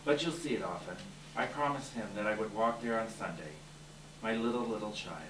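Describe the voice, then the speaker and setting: Noisy echoey hall, man acting as heartbroken dad, low soft tender voice. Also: fairly quick, far from the mic, close to an everyday voice. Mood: tender, grieving. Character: tender, heartbroken father of a poor family. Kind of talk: readers theater performance.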